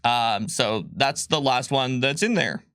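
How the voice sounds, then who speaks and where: A man explaining tabletop game rules in a casual livestream chat, talking in quick bursts with ums and restarts. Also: medium pitch, fairly bright tone, smooth, no room sound, studio quality. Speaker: game designer co-host.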